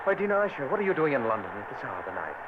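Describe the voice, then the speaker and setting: An actor playing a kindly, fatherly friend, concerned and brisk, in vintage radio drama style. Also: medium pitch, big pitch swings, close to an everyday voice. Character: kindly London friend, man. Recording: tinny old radio recording with loud hiss.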